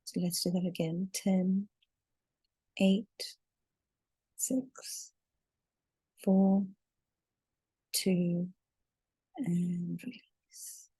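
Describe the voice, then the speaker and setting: Slow, mellow woman guiding a meditation. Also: lowish voice, level tone, husky, no echo, low-quality recording. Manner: soothing, deliberate, with long drawn-out counting. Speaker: guided meditation leader. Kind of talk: slow guided meditation narration.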